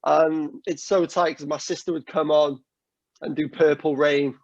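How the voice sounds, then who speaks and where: A man's voice: fairly high pitch, level tone, measured pace, no room sound, highs cut off. A man from Wales, chatting casually on a podcast as a guest, laughing often and swearing now and then. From North Wales. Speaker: guest singer-songwriter.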